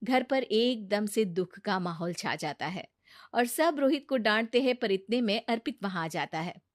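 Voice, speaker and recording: A woman's voice: medium pitch, lively intonation, normal speed, no echo, studio quality. A woman narrating a scripted moral story as a storyteller.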